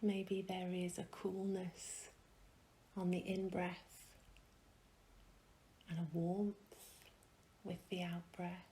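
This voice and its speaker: A woman leading a guided meditation in a soft, hushed, breathy voice, gently and soothingly, with long pauses. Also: on the low side, little pitch movement, slight background noise, rough recording.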